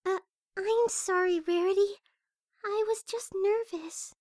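Very high, shy little pony voiced by a woman. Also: fairly even intonation, takes it slowly, dry recording, clean studio sound. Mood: nervous, apologetic. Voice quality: soft, quiet. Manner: hesitant, stammering. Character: shy, gentle, nervous young female pony. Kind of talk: amateur audio drama acting.

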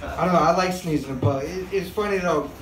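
A young man performing a stand-up set on a handheld mic in a small club, animated and acting out excited bystanders. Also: a little high, fast. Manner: animated, acts out bystanders with big excited outbursts. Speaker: stand-up comedian. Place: small comedy club stage, indoors. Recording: echoey club room with crowd noise.

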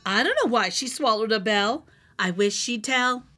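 A middle-aged woman hosting a children's read-aloud, telling a rhyming storybook in an animated, sing-song way over music. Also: fairly high pitch, moderate pace. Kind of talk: expressive rhyming storybook narration. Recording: music behind the voice.

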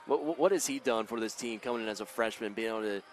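A man's voice: fairly low pitch, quick pace, soft background music. A man with an American accent interviewing casually as a sports broadcaster after a game, speaking into a headset at courtside. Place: indoor basketball arena, courtside.

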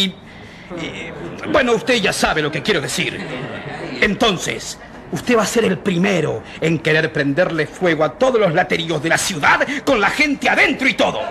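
A man's voice: sits high, vocal fry, heavily acted. An actor playing a scornful, hard-hearted male citizen arguing emphatically in a radio drama. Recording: radio play with loud crowd behind.

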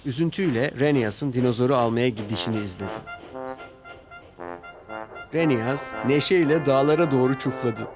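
A man narrating a scripted children's story in an expressive storytelling style. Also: medium pitch, normal speed, long pauses. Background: orchestral music with brass.

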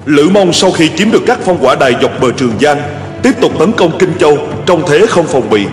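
A man's voice: fairly high pitch, animated, a little fast, lightly acted. A man voicing a solemn storyteller, narrating ancient wars in a historical cartoon. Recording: long hall reverb, music behind.

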